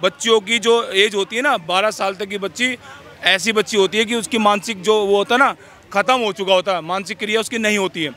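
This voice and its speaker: A man holds forth in a street interview with a high, sharp-edged voice. He lectures in a preachy way, punctuating his points with small rhetorical check-ins. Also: fairly quick.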